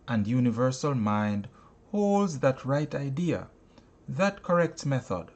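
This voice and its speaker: A man reading an inspirational self-help text aloud like a teacher, in an emphatic, preacher-like manner with dramatic pauses. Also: mid-range voice, darkish tone. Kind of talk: deliberate audiobook-style reading of inspirational teaching.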